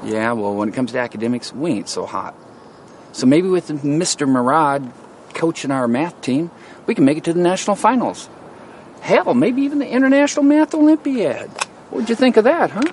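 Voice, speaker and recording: An actor playing an upbeat small-town school principal, folksy and persuasive, outdoors by a car. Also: male voice, a little high, sing-song, moderate pace, a little mellow, a little hiss or hum.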